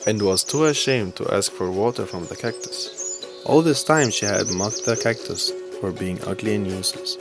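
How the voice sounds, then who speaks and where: A man's voice: on the low side, moderate pace. A man reading scripted narration for a children's bedtime story. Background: music with birdsong.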